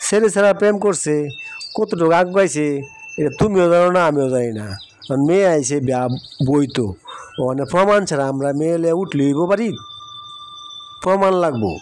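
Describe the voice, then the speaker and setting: Man playing an argumentative village uncle, higher-pitched. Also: lively intonation, a little fast, pauses often, a little mellow, a little hiss or hum, natural voice. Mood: exasperated. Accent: eastern Bangladeshi. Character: stubborn, sceptical village uncle demanding proof. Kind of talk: cartoon voice acting. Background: music.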